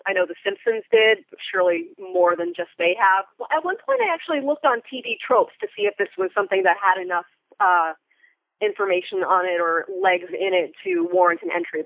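American woman, creaky voice over phone line. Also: lowish voice, no echo. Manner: wordy, articulate phrasing with vivid examples. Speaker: interview guest, theater Foley artist. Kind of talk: conversational interview. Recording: band-limited phone-like line.

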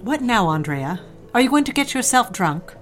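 A woman's voice: on the high side, very animated, normal speed, soft background music. A woman playing a cold, disapproving older society mother in an audio drama, haughty and clipped in manner. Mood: scornful.

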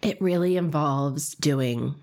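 A woman co-hosting a podcast in casual chat, relaxed and quick to laugh, with a slightly raspy voice. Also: deep voice. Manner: relaxed, laughs easily, trails off mid-sentence. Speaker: podcast co-host, professional organizer.

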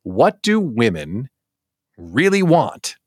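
A man hosting a casual live show, talking straight to viewers in an animated, theatrical way with exaggerated emphasis. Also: fairly high pitch, slow, husky, dry recording, studio quality. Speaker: host of a daily live show for small business owners.

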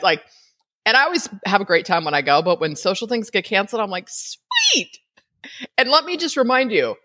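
A woman's voice: medium pitch, fairly bright tone. A middle-aged woman hosting a podcast, chatting casually with frequent laughs and asides. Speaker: podcast host.

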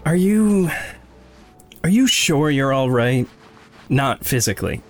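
An earnest young man in an audio drama, slow and hesitant, with very varied intonation. He is soft-spoken, caring and a little awkward, with music underneath. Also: mid-range voice, very animated. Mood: tender, teasing. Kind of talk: naturalistic audio drama acting.

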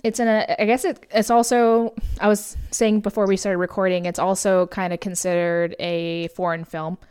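American podcast lady, going hoarse, smooth tone, lots of ums and laughs. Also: mid-range voice, flat delivery, normal speed, darkish tone. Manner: rambling, filler-heavy, laughs often. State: losing her voice. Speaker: podcast co-host. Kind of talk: casual movie review chat.

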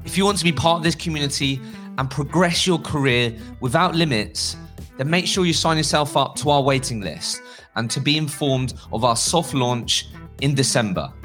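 Podcast host, male, about 30, mid-pitched, upbeat, steady interviewer. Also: normal speed, faint music behind.

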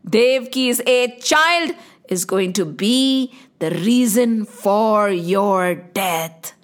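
A woman voicing a stern divine voice from the sky, slow and mocking as it warns. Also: medium pitch, animated, pitched below the performer's own voice. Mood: ominous.

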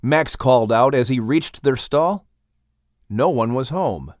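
A man's voice: medium pitch, measured pace, no echo, clean studio sound. A man hosting and narrating a scripted animal story as a storyteller. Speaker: host and narrator.

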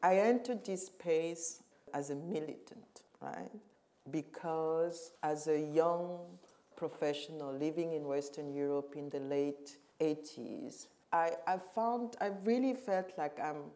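A middle-aged woman from Cameroon speaks in a panel conversation as a curator. Her voice sits low and sounds warm and mellow. She is reflective and emphatic, and often pauses to search for the right word. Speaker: curator and museum director.

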